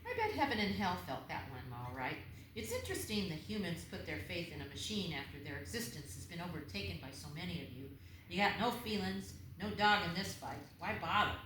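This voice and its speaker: A woman's voice: lowish voice, very animated, a little fast, fairly bright tone. A woman playing a taunting, smug little demon punk girl, mocking and flippant, in a screenplay table read. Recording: very echoey room, clear background noise.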